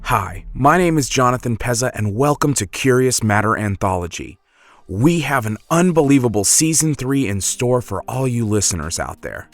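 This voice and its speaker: A man's voice: mid-range voice, sing-song, normal speed. A man hosting a podcast, delivering a scripted introduction in a polished, earnest way. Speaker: podcast creator and host. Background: music.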